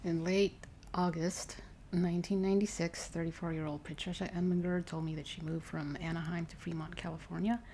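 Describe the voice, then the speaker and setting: A woman reading a story aloud ASMR-style, soft and unhurried, partly whispered. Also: fairly low pitch, little pitch movement. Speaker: ASMR reader. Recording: faint noise behind the voice.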